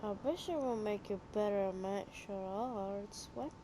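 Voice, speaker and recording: A woman's voice: mid-range voice, a little slow, background noise. A woman giving casual, offhand commentary on a game she is playing, dry and sceptical in manner. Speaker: gamer commenting on a playthrough.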